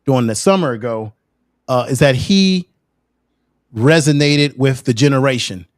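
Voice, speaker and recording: A man's voice: medium pitch, normal speed, dry recording. A man in his fifties making emphatic points in a casual panel discussion over a video call. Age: 50s. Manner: emphatic, preachy, building points. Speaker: co-host.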